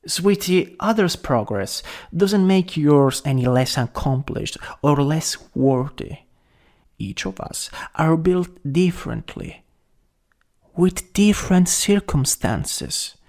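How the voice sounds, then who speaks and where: A young man acting a caring, worried best friend in an ASMR roleplay, gentle, hesitant and intimate. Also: on the high side, expressive, slow pace. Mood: earnest, comforting.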